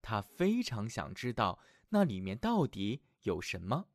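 A man narrating a scripted fairy tale for small children as their storyteller, in an expressive, affectionate manner. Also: lowish voice, a little slow, gravelly, no room sound, studio quality.

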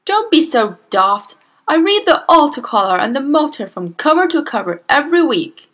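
A woman's voice: high voice, sing-song, normal speed, fairly bright tone, voice pushed up. A woman voicing a brash, impatient middle-aged father who snaps and blusters. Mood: irritable. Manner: snappy, blustering.

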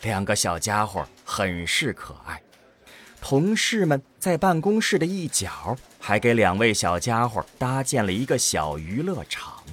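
Dude narrating a radio play, kinda low n husky, lots of movement in the voice. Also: male voice, sing-song, measured pace, fairly bright tone. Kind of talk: scripted audio drama narration.

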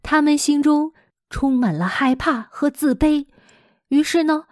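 A woman with an expressive, dramatic storytelling manner, speaking as a teacher to young listeners. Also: high-pitched, unhurried, no room sound, clean studio sound. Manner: dramatic, animated delivery with rhetorical questions addressed to young listeners. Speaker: teacher hosting a children's story podcast.

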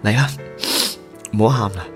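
A man's voice: on the low side, moderate pace, close to an everyday voice. A man playing a devoted, lovelorn young groom in radio drama. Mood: reassuring. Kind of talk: Cantonese radio drama acting. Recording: music under the voice.

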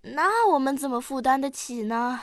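A woman playing a curious, quick-witted little granddaughter in a radio drama, eager and full of questions. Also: sits high, lively intonation, dry recording, studio quality.

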